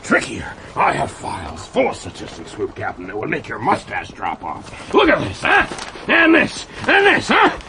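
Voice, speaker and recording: An actor playing a paranoid, ranting American military commander with a gravelly voice in a satirical radio play. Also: male voice, wildly swooping pitch, normal speed, few pauses. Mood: manic ranting. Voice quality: gravelly, creaky. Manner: swings from hushed menace to shrill ranting. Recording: noisy radio drama recording.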